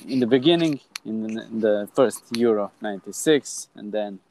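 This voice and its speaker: A man in his thirties from Croatia with a Croatian accent, hosting a casual podcast chat in halting English with frequent restarts. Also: on the low side, background noise. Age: 30s. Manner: halting, many restarts and fillers. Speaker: podcast host.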